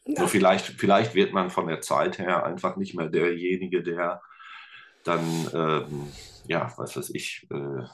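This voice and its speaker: Very deep-voiced German man. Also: fairly even intonation, a little slow. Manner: thoughtful, many fillers and restarts. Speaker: teacher and musician, podcast guest. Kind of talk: casual interview talk.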